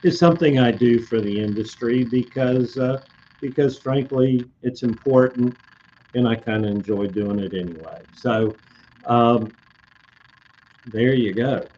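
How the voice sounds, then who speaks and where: A man talking slowly and haltingly, with many fillers, answering viewer comments on a live webcast, his voice slightly hoarse. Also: mid-range voice, darkish tone, muffled recording, slight background noise. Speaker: code expert on a live industry webcast.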